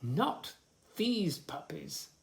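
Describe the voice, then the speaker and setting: A man's voice: on the high side, big pitch swings, very slow, vocal fry, a bit bright, full character voice. A man voicing a flustered but patient dog who explains himself in exclamations in a children's storybook. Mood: harried. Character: flustered, patient dog looking after many unruly puppies.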